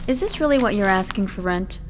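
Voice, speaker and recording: Young female actor as sardonic, doubting girlfriend in audio drama. Mid-range voice, animated pitch, blunt questions, light music underneath. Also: fairly quick, close to an everyday voice. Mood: dryly sardonic. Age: young adult. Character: skeptical, dry-witted young woman, half of a couple. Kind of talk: modern audio drama acting.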